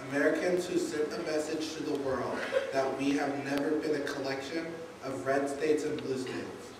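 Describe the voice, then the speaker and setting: A man's voice: medium pitch, steady tone, moderate pace. Young man, mid-range voice, formal speech reading, heavy echo, noticeable noise. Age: young adult. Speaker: congregation youth member reading a speech. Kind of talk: read-aloud political speech at prayer service. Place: large church, indoors. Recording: echoey, noisy church recording from far off.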